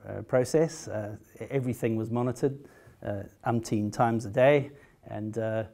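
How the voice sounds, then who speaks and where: A man's voice: medium pitch, normal speed, gravelly, warm tone. A middle-aged South African man with a South African accent, giving a hesitant conference talk as a patient, full of fillers and restarts, with a wry humor. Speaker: patient speaker.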